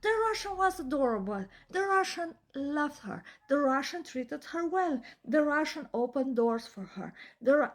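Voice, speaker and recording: A young woman with an Eastern European accent delivers a blunt, opinionated monologue. Her voice sits high, and she leans hard on emphasis and rhetorical questions. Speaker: vlogger.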